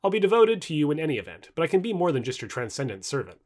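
A man's voice: on the high side, sing-song, racing. Man as a devoted future AI companion. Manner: reassuring, earnest. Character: devoted, eager male artificial intelligence from the future.

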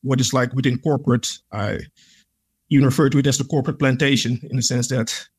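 A man's voice: mid-range voice, steady tone, fairly quick, darkish tone, slightly rough. A man, a podcast guest, telling his own experiences in an earnest, reflective way, with many "like" fillers. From Netherlands. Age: adult. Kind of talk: conversational interview storytelling.

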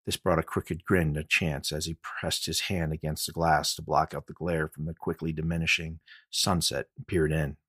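A man's voice: rumbling low, flat delivery, moderate pace, hardly any pauses, a bit bright, husky, no echo, clean studio sound. A man reading a scripted mystery audiobook as its author and narrator.

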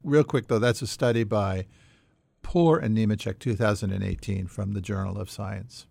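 A man's voice: fairly low pitch, moderate pace, gravelly, darkish tone, dry recording. A man hosting a conversational quiz segment on a podcast. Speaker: podcast co-host running a quiz.

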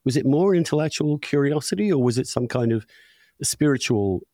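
A man's voice: mid-range voice, lively intonation, a little fast, mellow, dry recording, clean studio sound. A man hosting a podcast, interviewing in a conversational, probing and emphatic way. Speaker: podcast host.

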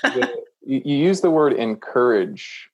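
A man's voice: medium pitch, animated, measured pace, darkish tone, lo-fi sound. A man hosting a podcast interview, reflecting back what his guest says and checking his understanding. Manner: reflective, paraphrases guest, frequent "mm" affirmations. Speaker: podcast host. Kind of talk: conversational interview.

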